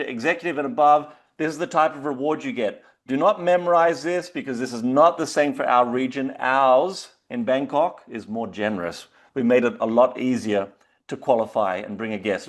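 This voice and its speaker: Australian man hosting a webinar. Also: medium pitch. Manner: loose, hesitant with frequent uh fillers. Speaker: webinar host. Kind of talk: casual presentation.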